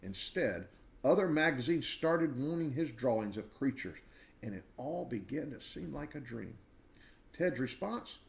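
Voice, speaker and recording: A man reading a children's biography aloud in an expressive storybook style, with dramatic emphasis and deliberate pauses. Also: mid-range voice, vocal fry.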